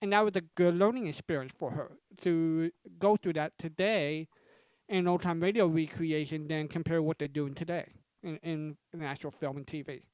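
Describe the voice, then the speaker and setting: A woman's voice: sits low, moderate pace, a little mellow, clear, resonant tone, no room sound, poor audio. A woman explaining things casually as a host on a group video call. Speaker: panelist and event host.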